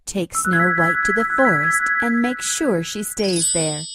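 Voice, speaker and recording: A woman's voice: on the low side, animated, a little slow, over loud music. A woman voicing a vain, jealous storybook queen with an imperious, formal manner. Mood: scheming.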